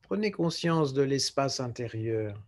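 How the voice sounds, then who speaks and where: A man guiding a relaxation meditation as a yoga nidra teacher, speaking in a calm, measured way with short phrases and pauses. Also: mid-range voice. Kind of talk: guided relaxation meditation instruction.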